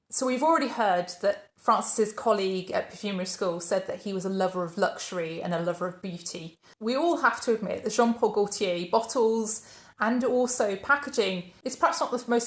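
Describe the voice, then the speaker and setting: A woman narrates a biography for her channel. She tells it as a story and keeps posing questions that she answers herself. Her voice sits at a middle pitch and carries the echo of a roomy space. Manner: storytelling, poses questions then answers them. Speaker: channel host.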